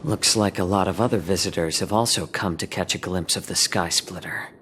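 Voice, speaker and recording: An actor playing a polite, shrewd man with veiled cynicism, speaking in a measured, understated way in a video game. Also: low-pitched, fairly bright tone, a little hiss or hum. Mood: polite, probing. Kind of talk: English video game voice acting.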